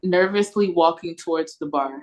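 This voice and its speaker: A woman's voice: on the low side, moderate pace. A woman reading stage directions as narrator in a scripted read-through over a video call.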